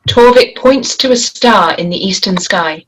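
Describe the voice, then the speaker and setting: A woman with a mid-pitched voice narrates a screenplay at a table read. She reads the stage directions, with faint noise and clicks behind her. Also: measured pace.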